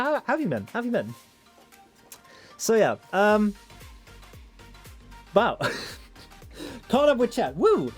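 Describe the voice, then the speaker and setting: A man's voice: sits high, darkish tone. A young man with a Dutch accent chatting casually on his stream, rambling with lots of fillers and swearing. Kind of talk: casual stream chat, reading out chat messages.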